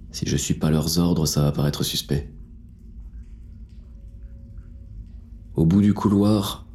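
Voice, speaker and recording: A man's voice: sits low, dark tone, lightly acted. A man playing a jaded, wary police inspector who narrates a noir audio drama in a blunt, world-weary, slangy way. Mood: uneasy, hopeful.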